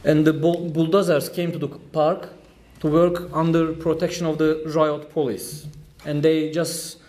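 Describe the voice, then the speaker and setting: Man with a Turkish accent, fairly high voice, public talk, storytelling with pauses and light humor. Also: little pitch movement, darkish tone. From Turkey. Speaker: trade union official giving a talk. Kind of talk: public speech at an event.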